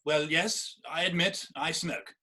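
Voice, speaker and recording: A man playing a polite young Victorian gentleman suitor in a theatrical comedy reading, well-spoken and deferential. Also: fairly high pitch, fairly even intonation, unhurried. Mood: polite, nervous. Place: virtual performance from home in isolation.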